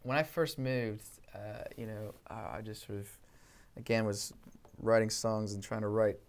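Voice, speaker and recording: A young man from Canada with an accent mixing Canadian and English, chatting softly and rambling with many fillers in a small studio room. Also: on the low side, steady tone, moderate pace, gravelly. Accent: Canadian mixed with English. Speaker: guest singer-songwriter. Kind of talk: casual chat, then singing with acoustic guitar.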